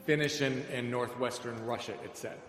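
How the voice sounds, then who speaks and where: A man's voice: mid-range voice, steady tone, normal speed, fairly bright tone. A middle-aged American man preaching in a conversational, dryly humorous way, telling personal anecdotes. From suburbs of Chicago, United States. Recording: echoey hall, long reverb, background noise.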